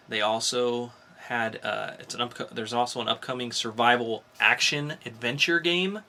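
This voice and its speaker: Man, bright forceful voice, casual podcast co-host, informal fan enthusiasm, faint background noise. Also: mid-range voice. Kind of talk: casual entertainment news chat.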